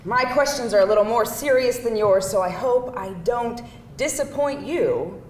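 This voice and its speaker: A woman's voice: a little high, expressive, normal speed, natural voice. A woman playing a candid, feisty date with a hesitant, conversational delivery at a live screenplay table read. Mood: candid, tentative. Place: indoor room before a live audience. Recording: echoey room, distant mic, faint noise.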